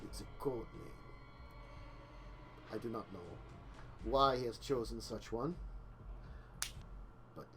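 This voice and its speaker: A man's voice: medium pitch, slow, pauses often, a bit bright, soft background music. A man running a tabletop vampire game as game master, talking casually with many fillers.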